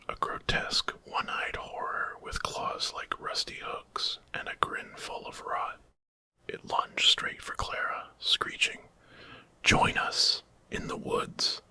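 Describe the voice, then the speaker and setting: Male ASMR horror narrator, fully whispered, very sharp sibilant breathiness, dramatic storytelling.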